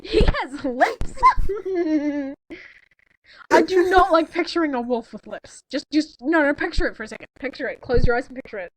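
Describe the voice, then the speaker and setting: A woman's voice: high-pitched, lively intonation, normal speed, rough recording. A woman reading a story aloud in a mock-dramatic way, giggly and breaking off to react in casual disbelief. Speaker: co-reader in a fanfiction reading video.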